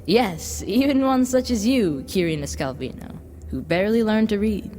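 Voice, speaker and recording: A woman playing a smooth-tongued devil boy in an audio drama, coaxing like a salesman and offering tempting gifts. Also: mid-range voice, expressive, moderate pace, warm tone, close to an everyday voice. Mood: sweetly tempting. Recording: long reverb, background noise.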